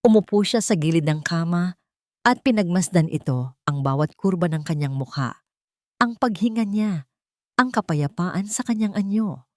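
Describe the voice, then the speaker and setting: A woman's voice: lowish voice, a little mellow, dry recording, studio quality. A woman reading a scripted romantic drama as a storyteller. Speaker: narrator.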